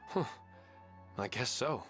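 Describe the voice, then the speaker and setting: A man's voice: medium pitch, animated, music in the background. A man playing a polite, humble, wistful husband and father in a video game, speaking earnestly. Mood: polite, surprised. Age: adult. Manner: courteous, earnest, measured. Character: polite, humble, wistful family man devoted to his wife. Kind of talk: scripted video game character acting.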